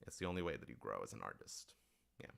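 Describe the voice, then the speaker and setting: A man's voice: very deep voice, very animated, fast, gravelly, fairly bright tone. A man hosting a casual livestream Q&A as a writer-director, rambling and thinking aloud as he talks to his audience.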